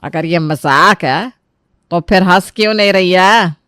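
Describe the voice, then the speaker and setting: A woman's voice: on the low side, measured pace, sharp tone, clean tone, dry recording, studio quality. A woman voicing a bossy, dramatic South Indian mother-in-law in a Hindi cartoon story, exclamatory and scolding, with a South Indian accent. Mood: indignant. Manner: exclamatory, scolding, ends phrases with a tag.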